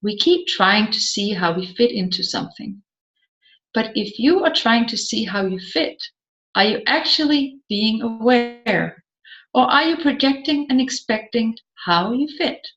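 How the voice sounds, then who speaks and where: A woman reads scripted seminar material as an audiobook. Her delivery is slow and deliberate, with emphatic rhetorical questions. The recording is a little muffled, with a noticeable room echo. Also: on the high side, expressive, a bit bright. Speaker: audiobook narrator. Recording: slightly dull, roomy echoing sound.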